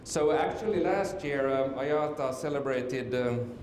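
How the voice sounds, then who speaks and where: A middle-aged man from Finland gives a conference talk, stopping often with ums and repeated words. His mid-pitched voice rings around a large echoey hall. A faint hiss sits under the speech. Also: unhurried, darkish tone. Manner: hesitant, many fillers and restarts. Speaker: conference speaker. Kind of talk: conference presentation.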